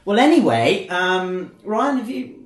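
Chatty host in an echoey room. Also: male voice, high voice, very animated, a little slow. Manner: rambling, many ums and restarts. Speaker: podcast host. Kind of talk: casual chat. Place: indoor studio.

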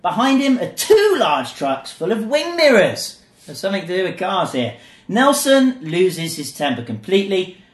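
A man's voice: high voice, wildly swooping pitch, normal speed. A man hosting a podcast, chatting playfully and reading a joke aloud. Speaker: podcast host. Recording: roomy echo.

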